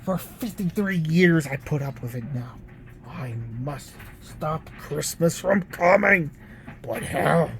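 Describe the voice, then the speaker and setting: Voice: mid-range voice, slow pace, a crowd in the background. A narrator voicing a scheming, bad-tempered old storybook monster who snarls and growls his lines. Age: older. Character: sneering, scheming, noise-hating old Christmas-stealing monster. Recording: clearly noisy background.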